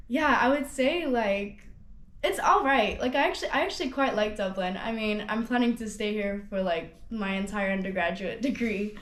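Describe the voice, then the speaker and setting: A young woman of nineteen, raised in Hong Kong, speaks in a relaxed testimonial. Her voice sits at a middle pitch and she talks loosely, full of fillers and restarts. The room adds a noticeable echo. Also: normal speed. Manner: chatty, lots of "like" and restarts. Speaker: student ambassador. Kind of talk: casual testimonial interview. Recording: roomy echo.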